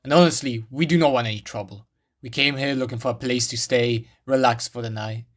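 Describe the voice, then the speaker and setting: Guy doing plain earnest survivor in a wasteland game, protective, average pitch. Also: brisk, natural voice. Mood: conciliatory. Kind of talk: game cutscene dialogue.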